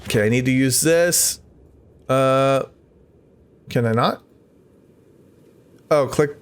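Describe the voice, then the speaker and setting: A man hosting a casual let's-play, reacting out loud to the game and chatting to his viewers. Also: medium pitch, slow, smooth. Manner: reactive, interjecting, talking to viewers. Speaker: gaming YouTuber host. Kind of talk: casual let's-play commentary, reading game text aloud.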